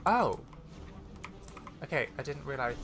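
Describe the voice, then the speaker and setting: A woman giving casual, excitable gaming commentary, rambling and interrupting herself as she plays. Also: very deep voice, unhurried, a little hiss or hum. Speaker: gaming video host. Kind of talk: casual let's play commentary.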